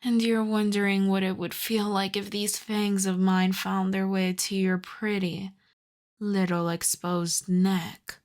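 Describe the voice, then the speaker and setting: A woman playing a seductive, possessive vampire countess in an ASMR roleplay, speaking softly and often slipping into whispers, with condescending tenderness. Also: medium pitch, little pitch movement, close to an everyday voice. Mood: predatory, amused. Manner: slow, crooning, condescendingly tender. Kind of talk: ASMR roleplay, acted monologue.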